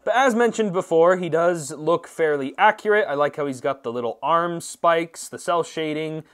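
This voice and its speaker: Male reviewer, slightly high voice, casual and conversational, stressing points as he walks through a product. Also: moderate pace, fairly bright tone. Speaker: host of an action figure review channel.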